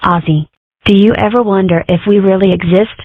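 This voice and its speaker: A woman's voice: mid-range voice, steady tone, a little fast, no room sound, lightly acted. A woman voicing an inquisitive, dramatic young cartoon girl in a comic strip dub, with wry, theatrical musing. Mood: puzzled. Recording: muffled phone-like audio.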